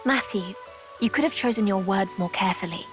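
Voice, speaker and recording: An actress playing a composed, wise and formal travelling companion in a video game cutscene, speaking in measured, articulate phrases. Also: female voice, mid-range voice, over music, natural voice. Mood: gently chiding.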